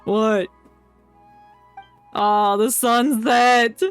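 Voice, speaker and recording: A woman's voice: fairly high pitch, steady tone, very slow, smooth. A woman game streamer giving casual, playful live commentary with joking asides and reading dialogue aloud. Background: game music.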